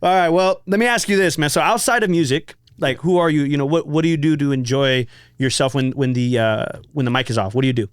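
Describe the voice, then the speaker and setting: A fairly high-pitched man hosts a casual podcast interview. He is chatty and slangy and rambles as he goes. His voice is recorded dry, with little room sound. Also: quick pace, few pauses, smooth, no room sound. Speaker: podcast host.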